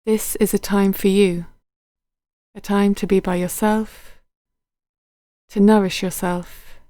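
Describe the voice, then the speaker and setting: A woman guiding a body scan meditation in a gentle, unhurried, soothing manner, letting her phrases end softly. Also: mid-range voice, flat delivery, dry recording, studio quality. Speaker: meditation guide.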